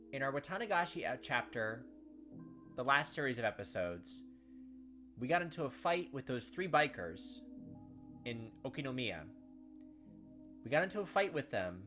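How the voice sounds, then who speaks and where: A man with a bright, forward voice at an average pitch hosts a visual novel playthrough. He reads the narration aloud, then breaks off into animated, puzzled speculation, sometimes mimicking the characters. Faint music plays underneath. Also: moderate pace, pauses often. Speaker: let's-play host. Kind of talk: gameplay commentary mixed with reading visual novel text aloud. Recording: music playing behind the voice. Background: game background music.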